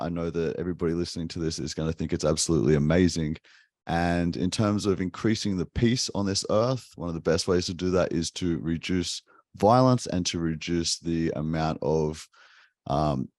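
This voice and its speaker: A man hosts a relaxed interview in a very low voice, upbeat and encouraging, with easygoing slang. The sound is dry and close, with no room echo. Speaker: podcast host. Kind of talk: casual interview chat.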